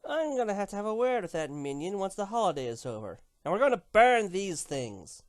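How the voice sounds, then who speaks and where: Woman as a grumpy, animated pony empress. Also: mid-range voice, fairly quick, no room sound, studio quality, close to an everyday voice. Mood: threatening. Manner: grumbling, dismissive, sardonic. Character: grumpy, menacing winged unicorn empress, female. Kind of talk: dramatic reading of a cartoon fan story.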